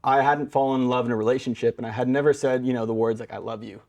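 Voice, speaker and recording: A man's voice: medium pitch, steady tone, fast talker, a little mellow. A young man with an American accent, a guest songwriter telling stories in an informal, meandering way. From Brazil-born, raised in the San Francisco Bay Area. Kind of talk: casual storytelling.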